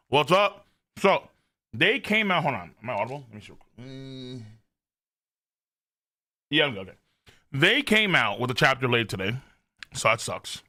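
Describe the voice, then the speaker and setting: Bright, forceful male livestreamer. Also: medium pitch, takes it slowly, pauses often. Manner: loud, animated, rambling, frequent swearing. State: mild on-and-off throat strain. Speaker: live streamer, host. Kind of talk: casual livestream chat, reading viewer messages.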